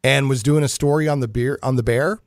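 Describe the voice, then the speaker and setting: A man hosting a casual geek-news talk show, chatting in a jokey, animated way with playful emphasis. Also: mid-range voice, brisk, no room sound, clean studio sound. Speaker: radio talk show host.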